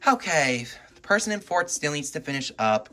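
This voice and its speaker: A man doing casual, excitable gameplay commentary as a video host, reacting aloud as he plays. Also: a little high, moderate pace, slight background noise. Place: indoors at home, filming a TV.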